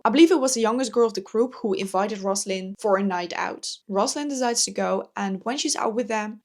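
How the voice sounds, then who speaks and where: A woman in her twenties from the Netherlands, a native Dutch speaker, reviewing books in a chatty, enthusiastic way with frequent laughs and soft asides. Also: medium pitch. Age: 20s. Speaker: book vlogger. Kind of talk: casual book review chat.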